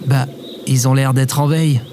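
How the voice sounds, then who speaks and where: A man's voice: mid-range voice, fairly even intonation, normal speed, background noise, lightly acted. A man playing a casual, sarcastic adventurer who talks in a colloquial, grumbling way in an audio drama. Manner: colloquial, wry, hesitant.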